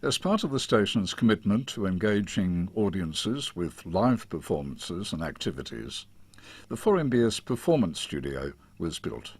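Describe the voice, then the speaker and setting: An Australian man reading a scripted promotional narration in a measured, formal announcer's manner. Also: low voice, sing-song, husky, no echo. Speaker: radio station presenter. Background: music.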